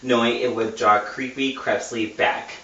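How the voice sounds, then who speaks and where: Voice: medium pitch, fairly even intonation, measured pace, fairly bright tone. A person reading a novel aloud casually in a mock-dramatic, giggly way. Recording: roomy, distant mic, muffled, noisy.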